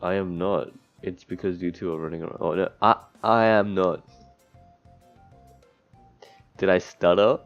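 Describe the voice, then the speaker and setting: A man's voice: sits low, steady tone, brisk. A man reading visual novel text aloud as a let's player, stumbling over words and breaking off into offhand asides. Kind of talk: gameplay commentary, reading game text aloud. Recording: dull lo-fi mic, background music. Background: game music.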